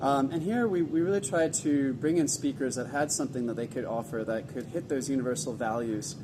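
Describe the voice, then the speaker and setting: A man who runs the event, interviewing a guest in an upbeat, enthusiastic way. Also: fairly quick. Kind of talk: casual interview. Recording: background noise and rumble.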